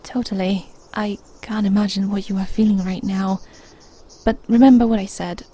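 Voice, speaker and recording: A woman playing a bubbly, supportive starship crewmate in an audio drama, husky and reassuring with a playful streak. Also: medium pitch, steady tone, moderate pace, dark tone, natural voice. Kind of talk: audio drama acting, casual chat.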